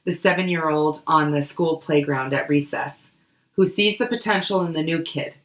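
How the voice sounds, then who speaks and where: A woman co-hosting a book club podcast, hoarse and coughing from a cold, chatting casually with many fillers. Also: deep voice, moderate pace, echoey room, rough recording. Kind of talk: casual explanatory chat.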